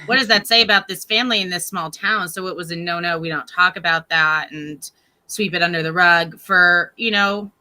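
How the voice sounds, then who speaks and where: Thirties woman, guest on a chat show: very bright, piercing tone, talkative, laughs easily. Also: on the low side, moderate pace. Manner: chatty, laughs often. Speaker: guest, ghost tour guide. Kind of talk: casual interview chat.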